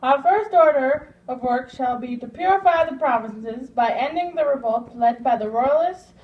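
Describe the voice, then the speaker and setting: A woman's voice: sits high, lively intonation, normal speed. A woman voicing a stern, commanding revolutionary leader in a puppet show, declaiming formally. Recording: dull, echoey, distant microphone.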